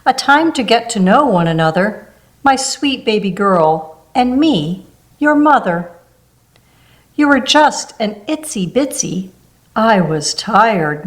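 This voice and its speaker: A woman reading a rhyming children's picture book aloud in a gentle storybook manner. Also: a little high.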